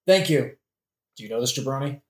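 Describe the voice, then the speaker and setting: A woman's voice: extremely low pitch, lively intonation, fairly quick, a little mellow. A woman playing a sarcastic, melodramatic young prince in a modern comedic audio play, with theatrical delivery. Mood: sardonic. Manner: theatrical, sardonic. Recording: roomy echo.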